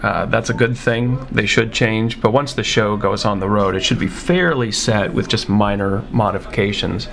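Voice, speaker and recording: Gravelly man explaining his craft. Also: fairly low pitch, moderate pace, few pauses, a bit bright. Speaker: puppeteer, presenter. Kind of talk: casual explanatory talk.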